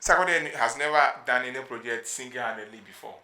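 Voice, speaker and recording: A man's voice: medium pitch, fast, sharp tone, roomy sound, rough recording. A man with a Ghanaian accent co-hosting a podcast, chatting casually and slipping into Pidgin. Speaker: podcast co-host. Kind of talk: casual chat.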